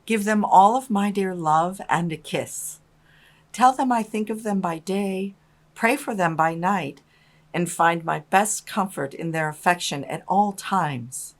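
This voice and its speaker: An actress playing a loving, patient mother in a dramatised storybook, with a gentle and measured manner. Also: on the low side. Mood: tender.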